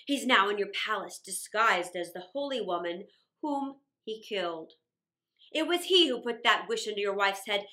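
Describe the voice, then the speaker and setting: A woman voicing a furious male genie who scolds and warns in a booming voice. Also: expressive, moderate pace, pushed and bright. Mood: warning.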